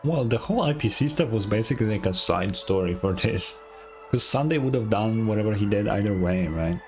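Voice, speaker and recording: Lockerer Streamer mit eher tiefer Stimme. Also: male voice, on the low side, animated, brisk, faint music behind. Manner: informal, incredulous, sweary. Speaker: streamer reacting to a game. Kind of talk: casual live commentary.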